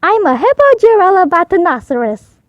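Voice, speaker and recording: An excitable little hippo boy from a storybook, played by a woman, high and swooping. He is eager, boastful and determined, and the sound is dry and close. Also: a little fast, no room sound, dull audio. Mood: proud.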